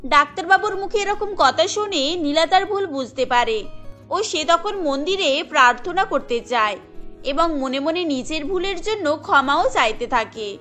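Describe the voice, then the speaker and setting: A woman tells a cartoon story as its narrator. She has a very high-pitched, bright voice over a music bed. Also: expressive, measured pace.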